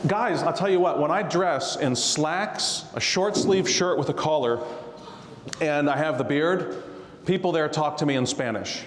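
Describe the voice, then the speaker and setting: A man's voice: fairly high pitch, measured pace. A man, a tax attorney, telling stories and explaining tax rules to a live audience, laughing often. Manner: jokey storyteller, laughs often. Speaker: real estate tax attorney guest. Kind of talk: casual talk to a live audience. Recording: roomy hall reverb.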